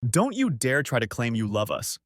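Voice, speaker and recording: A man's voice: medium pitch, wildly swooping pitch, normal speed, dry recording, studio quality. A man voicing a betrayed husband in a story, cold, cutting and bitterly angry. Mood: icy. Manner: cutting, accusatory.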